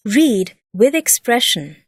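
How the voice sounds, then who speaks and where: A woman narrating a scripted educational lesson in a measured, deliberate and expressive way, like a teacher explaining step by step. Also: a little high, husky.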